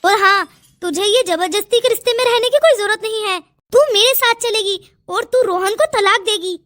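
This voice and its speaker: A woman's voice: very high-pitched, normal speed, fairly bright tone. A woman voicing a stern, protective elder cousin who scolds and commands in a cartoon family melodrama. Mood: indignant, bossy. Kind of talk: melodramatic cartoon story acting.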